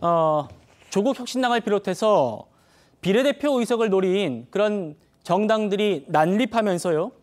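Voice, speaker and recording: A man anchoring a TV news talk show in a studio, with a measured and formal presenter delivery. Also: sits high, animated.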